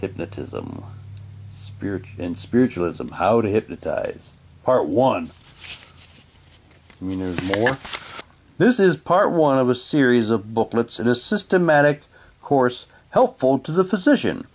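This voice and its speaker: A man chatting casually while reading documents aloud, haltingly, with muttered asides to himself. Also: mid-range voice, big pitch swings, a little mellow, a little hiss or hum. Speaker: reviewer unboxing an audio drama's props.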